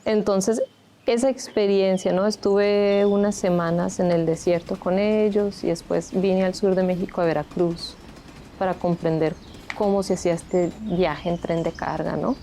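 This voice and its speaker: A woman in her thirties who grew up in Colombia speaks in a lowish, mellow voice. She tells her own story for a documentary in a candid, reflective way. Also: fairly even intonation, measured pace, slight background noise. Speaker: interviewee, migrant shelter founder. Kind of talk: documentary interview.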